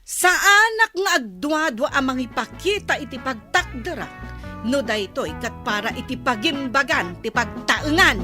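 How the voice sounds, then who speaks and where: A woman's voice: sits high, lively intonation, unhurried, music in the background. An actress playing a stern, hard-working wife and mother in an Ilocano radio drama, with an emphatic, nagging way of talking. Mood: angry, scolding.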